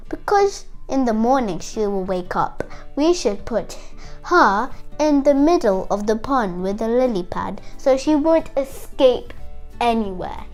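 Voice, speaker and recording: A young girl hosting a kids' show, retelling a fairytale to children in a chatty, singsong, dramatic way. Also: on the high side. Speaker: storytelling host of a kids' show.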